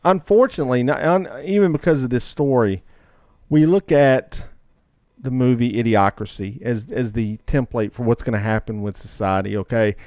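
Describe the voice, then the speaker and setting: A man's voice: medium pitch, normal speed, a little mellow, no echo, studio quality. Opinionated man in casual podcast chat. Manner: opinionated, rambling. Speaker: podcast co-host.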